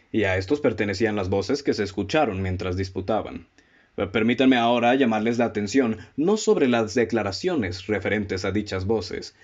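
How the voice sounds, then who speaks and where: A Mexican man acting in a student radio drama as a self-assured, methodical amateur detective who speaks in a formal, deliberate, theatrical way. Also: fairly low pitch, close to an everyday voice. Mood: confident, probing. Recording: dull, muffled lo-fi audio.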